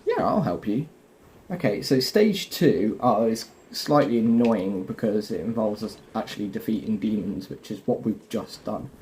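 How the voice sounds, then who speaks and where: Low-voiced man giving casual game commentary. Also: lively intonation, moderate pace, darkish tone, a little hiss or hum. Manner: explanatory, addresses viewers directly. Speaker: gaming video host.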